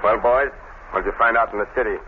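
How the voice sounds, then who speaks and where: An actor playing a tough, defiant gang boss with a rough voice, barking slangy orders in 1930s radio drama style. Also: male voice, fairly low pitch, steady tone, fast talker, heavy background noise, lightly acted. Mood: brisk, commanding. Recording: thin, phone-like 1930s radio recording.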